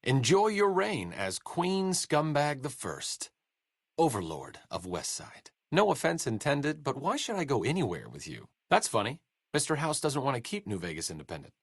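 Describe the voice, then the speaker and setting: A man's voice: mid-range voice, huge pitch swings, moderate pace, husky, no echo, full character voice. An actor playing a sardonic, bookish male wasteland doctor with dry, self-deprecating wit in a video game. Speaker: game companion character.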